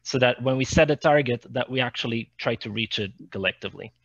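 A man with a middling pitch discusses policy as a panelist, repeating words as he assembles each point. The video-call sound is dry and a bit dull. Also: quick pace, gravelly, a bit bright, no echo.

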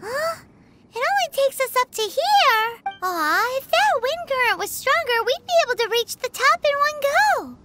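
A woman voicing a tiny, chatty, childlike fairy girl companion in a video game, squeaky and exclamatory. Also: big pitch swings, moderate pace. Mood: curious, eager. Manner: speaks of herself in the third person, exclamatory. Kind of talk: animated video game dialogue. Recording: game music loud behind the voice. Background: loud game music.

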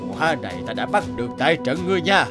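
An actor playing a corrupt, blustering, cowardly cartoon official with an exclamatory manner. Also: male voice, on the high side, big pitch swings, fast talker, over loud music. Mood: gloating, threatening. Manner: gloating, then grovelling, exclamatory.

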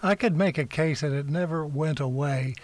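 A man's voice: on the high side, moderate pace, darkish tone, no echo. A man co-hosting a podcast, chatting casually with a dry, joking manner. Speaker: podcast co-host. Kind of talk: casual chat.

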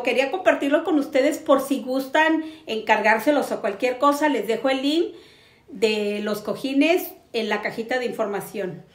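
A woman in her forties talks in a fairly high voice, chatty and enthusiastic. She hosts a casual home decor review, recorded indoors in an echoey living room. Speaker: host of a home decor channel. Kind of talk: casual product review to camera. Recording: roomy echo.

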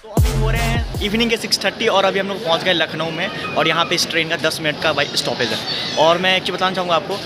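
A man's voice: high voice, fast, over loud music. A young man talking casually to viewers in a travel vlog, joking with friends, filmed on a phone at arm's length on a busy railway platform. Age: young adult. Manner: chatty, joking, addresses viewers directly. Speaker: vlogger. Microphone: phone camera held at arm's length. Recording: noisy outdoor station ambience.

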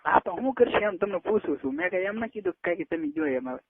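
Tinny phone call, high-pitched insistent young man. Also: lively intonation, fast, background noise. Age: early 20s. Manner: insistent, coaxing. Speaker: caller. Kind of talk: casual private phone chat. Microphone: telephone.

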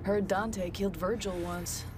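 A mouthy young weapons mechanic from a video game, played by a woman. She is blunt and sassy and likes to needle. Her voice sits at a middle pitch, with music strongly present behind it. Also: steady tone, measured pace, lightly acted. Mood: needling. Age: young adult. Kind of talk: video game cutscene acting.

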